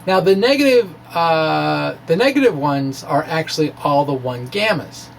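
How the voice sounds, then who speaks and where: A man giving an informal science explanation in a halting way, as if thinking aloud. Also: on the high side, lively intonation, creaky, a little hiss or hum. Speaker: amateur scientist presenting his blog.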